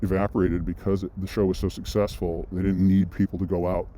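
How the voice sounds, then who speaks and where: A man's voice: sits low, flat delivery, a little fast, mellow, slight background noise. A man vlogging, telling a personal story in a loose, rambling way, full of restarts and side notes. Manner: rambling, with frequent restarts and asides. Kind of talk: casual storytelling monologue.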